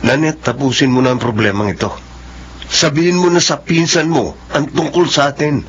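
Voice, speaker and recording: A man's voice: mid-range voice, moderate pace, natural voice. A man acting as a frustrated young boyfriend who is tired of keeping things secret, speaking curtly and with irritation. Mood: irritated. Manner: curt, irritated. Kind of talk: spoken drama acting. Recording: dull lo-fi audio with faint noise.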